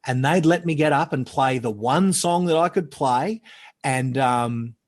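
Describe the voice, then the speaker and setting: A man chatting as a podcast guest, loud and animated, rambling through stories and correcting himself as he goes. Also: mid-range voice, unhurried. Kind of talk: casual chat.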